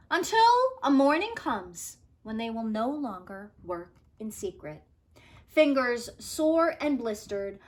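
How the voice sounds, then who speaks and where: A woman teacher reading a children's story aloud expressively, with careful, dramatic phrasing and emphasis. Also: a little high.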